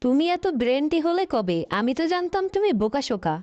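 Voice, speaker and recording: Woman playing high-pitched scheming cartoon lady leader. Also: big pitch swings, brisk, a little mellow, dry recording. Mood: indignant, teasing. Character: cartoon caricature of a vain, scheming female political leader. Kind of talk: comic cartoon parody dialogue. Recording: dull lo-fi, noisy, clicks.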